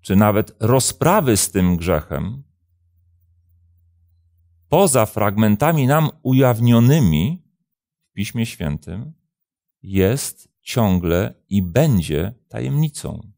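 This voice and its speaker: A man in his fifties, a pastor hosting a programme, answering religious questions in a measured, deliberate way with hesitant pauses. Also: on the low side, big pitch swings, creaky, mellow, dry recording, clean studio sound. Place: indoor studio, seated at a desk.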